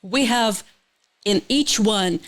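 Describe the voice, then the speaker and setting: A woman's voice: medium pitch, takes it slowly. Ukrainian-accented woman, searching for words. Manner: searches for words, restarts, many fillers. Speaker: guest. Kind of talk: casual podcast interview.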